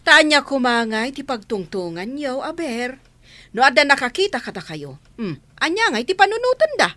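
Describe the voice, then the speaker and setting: A woman's voice: high-pitched, sing-song, moderate pace, pushed and bright, heavily acted. A woman playing a meddling, suspicious mother-in-law who scolds, in an Ilocano radio drama. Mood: scolding.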